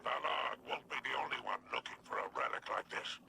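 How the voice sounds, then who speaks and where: Gravelly, pompous alien crime boss. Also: male voice, medium pitch, brisk, noisy background. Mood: sly. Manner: pompous, theatrical, florid wording. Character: wily, self-important alien crime boss with a reputation. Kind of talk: video game character acting. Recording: loud game music behind.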